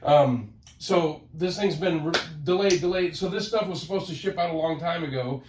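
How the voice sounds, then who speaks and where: A man with a head cold, sounding nasal, hosting a casual collector vlog from his home office in an excitable, rambling way that repeats words. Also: fairly high pitch, normal speed, background noise. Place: home office, ten-foot ceiling. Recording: roomy home office, mic at a distance.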